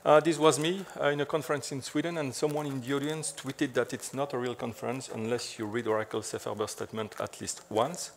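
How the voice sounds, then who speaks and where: A man's voice: mid-range voice, steady tone, fairly quick, hardly any pauses, slight background noise. A middle-aged man from French-speaking Belgium with a French accent, giving a conference talk on stage in a chatty, filler-heavy way. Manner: chatty, frequent "so" and "uh" fillers, light self-deprecating humor. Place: large dark conference stage.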